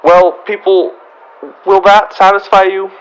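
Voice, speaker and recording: A man's voice: high-pitched, little pitch movement, a little slow, slight background noise, poor audio. A young man playing a fussy, harried department store floorwalker in a radio comedy, prim and pleading, repeating his phrases. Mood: apologetic. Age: young adult. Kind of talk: old-time radio comedy acting.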